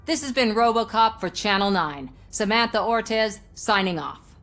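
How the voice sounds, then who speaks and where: A woman playing a polished, brisk television news reporter conducting an interview in a video game. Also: mid-range voice, lively intonation, a bit bright. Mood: brisk, professional.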